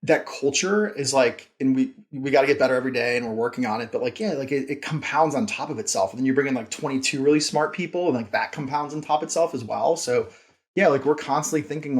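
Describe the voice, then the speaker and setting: A man speaking as a founder and podcast guest, informal and conversational, repeating phrases for emphasis and leaning on "like". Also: medium pitch. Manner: informal, frequent "like", emphatic repetition. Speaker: company founder and CEO, interview guest.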